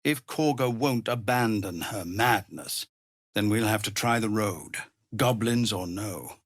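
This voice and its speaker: A male actor playing a weary, honourable leader of embattled refugees in a video game, grave, measured and formal, with a husky voice that turns gravelly under strain. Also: lowish voice, dry recording, studio quality. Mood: worried, urgent. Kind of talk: dramatic game dialogue.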